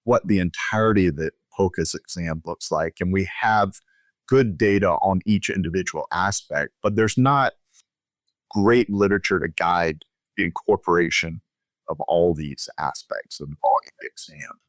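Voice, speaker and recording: A man with a low-pitched voice gives expert medical explanation in an interview. His delivery is measured and instructional, laid out step by step. The sound is dry, with very little room in it. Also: dry recording. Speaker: physician guest expert.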